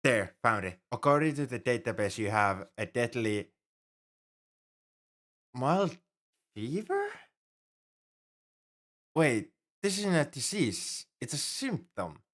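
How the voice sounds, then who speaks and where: A man's voice: mid-range voice, very animated, takes it slowly, long pauses, fairly bright tone, exaggerated voice. A man playing an anxious, overprotective alien crewmate in an audio roleplay, speaking formally and earnestly in a fretful, easily flustered way. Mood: doubtful, confused. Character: anxious, paranoid male alien crewmate fussing over human. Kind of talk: ASMR roleplay audio drama.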